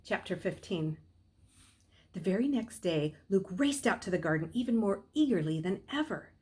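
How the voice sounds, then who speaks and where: Expressive middle-aged woman reading a story aloud. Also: mid-range voice, moderate pace. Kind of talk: expressive read-aloud narration. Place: small furnished room at home, indoors.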